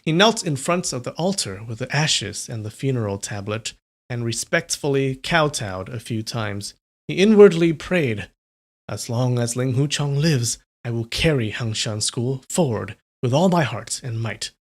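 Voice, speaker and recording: A man's voice: mid-range voice, lively intonation, unhurried. A young man from California reading a novel aloud on a livestream, chatting casually with viewers between passages.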